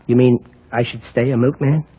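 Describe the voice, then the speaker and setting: An actor playing a brash, soft-hearted, wisecracking milkman with a husky New voice in an old radio comedy-drama. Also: medium pitch, fairly even intonation, moderate pace, close to an everyday voice. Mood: wistful. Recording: dull vintage radio recording.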